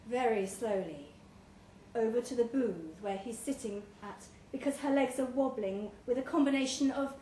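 Slow, high-voiced woman reading a story. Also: a little mellow. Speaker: story reader. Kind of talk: scripted narration, live short-story reading. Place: pub, live reading event. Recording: echoey room, clear background noise.

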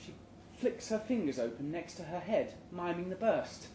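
Male live fiction reader, deadpan comic timing, lively intonation, recorded in an echoey room with faint background noise. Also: sits high, normal speed. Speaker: reader of a short story at a live event. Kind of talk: live dramatic short story reading. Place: indoor room at a live literary event.

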